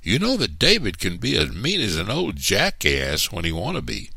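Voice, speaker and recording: A cautious Southern hired man, voiced by a man speaks in a raised, lively pitch. He is hesitant and deferential, never sure he should speak up in this audiobook tale. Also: moderate pace, vocal fry, husky, no echo, exaggerated voice. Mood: nervous, cautioning.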